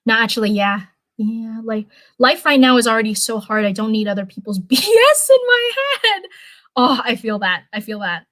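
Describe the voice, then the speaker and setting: A young woman chatting casually on a livestream, rambling with lots of fillers and restarts, her voice getting hoarse. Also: on the high side, lively intonation, moderate pace, fairly bright tone, no echo. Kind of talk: casual livestream chat, answering viewers.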